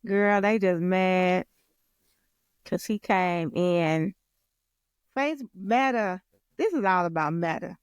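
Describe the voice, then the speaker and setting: American woman, smooth tone, dry recording, playful, expressive, casual podcast chat. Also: fairly low pitch, takes it slowly, long pauses, dry recording. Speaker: podcast co-host and content creator. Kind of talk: casual girl-talk chat.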